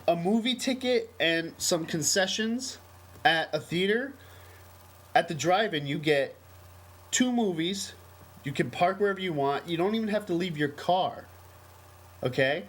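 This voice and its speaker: An American man co-hosting a casual podcast, talking in a rambling, emphatic, enthusiastic way. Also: on the high side. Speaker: podcast co-host. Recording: noisy amateur podcast recording.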